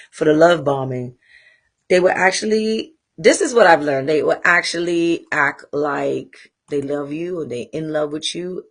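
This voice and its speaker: A woman with a Trinidadian accent hosting a live talk show, speaking candidly and rambling with pauses to find her thread. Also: low-pitched. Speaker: host. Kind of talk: casual livestream monologue.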